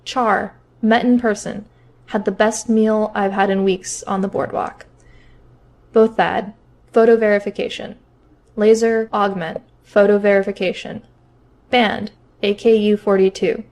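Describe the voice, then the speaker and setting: A woman's voice: mid-range voice, a little mellow, natural voice. A woman voicing a stern, sarcastic internet forum moderator in an audiobook, curt and skeptical. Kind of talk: audiobook character acting.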